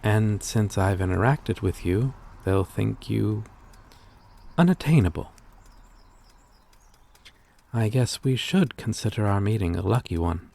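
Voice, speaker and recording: A man performs a vampire-like gentleman monster in an intimate ASMR drama. His voice sits on the low side and moves very slowly. He is courteous and protective, with old-fashioned, formal phrasing and a melancholy gentleness. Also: animated, gravelly, heavily acted. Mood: concerned, confiding. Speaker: roleplay voice performer. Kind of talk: ASMR roleplay acting.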